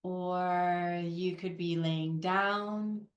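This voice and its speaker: Slow-paced woman guiding meditation, roomy sound. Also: fairly low pitch, fairly even intonation, lo-fi sound. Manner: unhurried, gentle, pausing between phrases. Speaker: guided meditation leader, Reiki practitioner. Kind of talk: guided meditation, soothing instruction.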